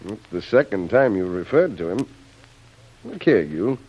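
Muffled old-radio tough boss, very animated. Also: male voice, low voice, measured pace, breathy edge, full character voice. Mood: suspicious. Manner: teasing, blunt, slangy. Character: brash island boss, amused and suspicious. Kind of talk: 1930s radio serial acting.

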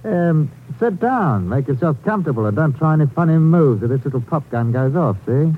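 A man's voice: a little high, animated, a little fast, close to an everyday voice. A man playing a seamy, sneering small-time crook with a mock-genial manner in a 1950s radio drama. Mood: smug, menacing. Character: seamy, gloating little male small-time crook with gun. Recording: tinny, slightly noisy old radio recording.

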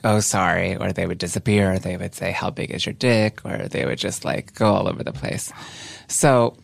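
Deep-voiced woman, gravelly and dry. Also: no room sound. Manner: frank, wry storytelling with laughs. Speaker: podcast guest. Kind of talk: candid casual chat.

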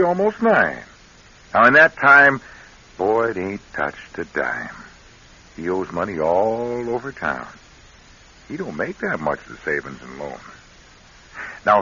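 An actor in an American radio drama playing a sly, needling schemer who talks in folksy, ungrammatical teasing. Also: male voice, low voice, sharp tone, slightly rough, heavily acted. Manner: drawling folksy bad grammar, teasing. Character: sly, folksy, needling male schemer angling for stolen money. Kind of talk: classic radio drama acting. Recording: hissy, muffled old radio recording.

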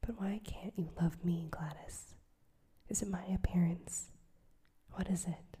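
A woman voicing an earnest, lovestruck young man in a soft whisper. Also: lowish voice, fairly even intonation, unhurried, a little hiss or hum, lightly acted. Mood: pleading. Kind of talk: whispered audiobook reading.

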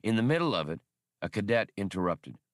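Man reading as a wry, low-voiced veteran officer. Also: big pitch swings, brisk, no room sound, studio quality. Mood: wry, reminiscing. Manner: wry, mild storytelling. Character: seasoned, easygoing male ex-officer telling old war stories.

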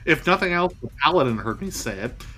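A man's voice: a little high, lively intonation, fast, bright, forceful tone, faint music behind. A man playing a tabletop game, making wry asides and rule points. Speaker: player. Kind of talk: casual tabletop play.

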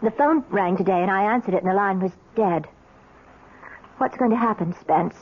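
A woman's voice: mid-range voice, normal speed, close to an everyday voice. A woman playing a suspicious, bitterly sarcastic middle-aged wife in a 1970s radio drama, with arch, pointed phrasing. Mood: bitter sarcasm. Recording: muffled vintage radio with faint noise.

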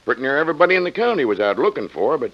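An actor in an old-time radio drama playing a folksy, friendly frontier sheriff with an American Western drawl. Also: male voice, medium pitch, sing-song, very fast. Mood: sober, troubled. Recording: dull vintage radio recording.

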